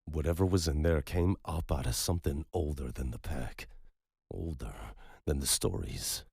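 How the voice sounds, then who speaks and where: A man voicing a badly wounded older man who speaks with grim certainty in a strained, hushed whisper. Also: a bass voice, moderate pace, vocal fry, husky, no room sound, clean studio sound, exaggerated voice.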